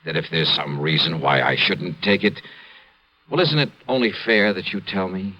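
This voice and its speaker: An actor playing a shrewd, wry insurance investigator who narrates and speaks with easygoing, halting fillers in a 1950s radio drama. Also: male voice, low-pitched, lo-fi sound, close to an everyday voice. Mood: coaxing. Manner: easygoing, drawling, with hesitant fillers. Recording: old radio broadcast, roomy and hissy.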